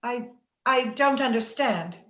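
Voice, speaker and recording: In a roomy, slightly dull recording, a woman plays a jealous, anguished young married lady of an old-time radio drama. The voice is high and the delivery haughty and melodramatic. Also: fairly bright tone. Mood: pleading, distraught. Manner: melodramatic, halting when upset. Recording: roomy, slightly dull remote-call sound.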